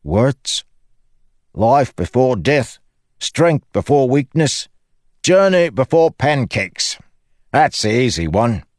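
Audiobook role: exuberant, boastful young soldier, high and animated, theatrical, playful. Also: male voice, slow pace, long pauses, no echo, studio quality. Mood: breezy, boastful. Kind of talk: audiobook character acting.

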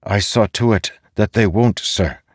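A man's voice: lowish voice, unhurried, no room sound, clean studio sound, voice pushed up. A man voicing an earnest young warrior son in a fantasy audiobook, intense and frustrated as he defends himself. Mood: angry, exasperated. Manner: intense, indignant when challenged.

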